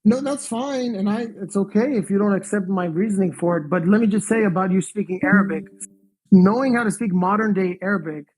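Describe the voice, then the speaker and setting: Casting: young man, high voice, calm but defensive debater who lays out his reasoning point by point. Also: brisk, low-quality recording. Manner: defensive, explains step by step, asks for respect. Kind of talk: heated online debate.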